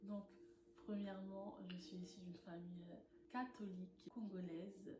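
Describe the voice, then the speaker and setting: A young woman from France sharing her personal faith testimony in an earnest, animated way, laughing often. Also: medium pitch, moderate pace. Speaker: testimony speaker. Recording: echoey room with noise.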